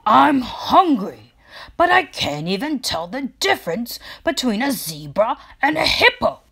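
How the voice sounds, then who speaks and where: Grumpy storybook lion, voiced by a woman: loud, indignant, slow and emphatic. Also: sits high, big pitch swings, vocal fry, breathy edge, voice pushed up, full character voice. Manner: forceful, indignant. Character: grumpy hungry lion complaining loudly.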